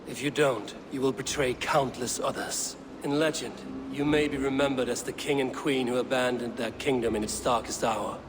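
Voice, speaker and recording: An actor playing a stern, dry-witted Viking warrior in a video game, speaking in a measured, deadpan, commanding way. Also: male voice, medium pitch, noisy background, lightly acted. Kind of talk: video game cutscene acting.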